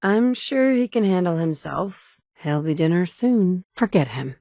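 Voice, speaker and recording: A woman's voice: lowish voice, lively intonation, unhurried, warm tone, no echo, studio quality. A woman voicing a tough, blunt female survivor in a game, with curt, commanding lines. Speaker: player character. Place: recording studio. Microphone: close studio mic.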